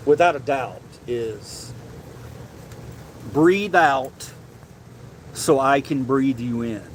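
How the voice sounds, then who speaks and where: A man hosting a casual livestream and reading chat, animated and loose, swearing casually and calling people bro. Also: medium pitch, slight background noise, rough recording. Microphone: desk mic, sometimes leaning away.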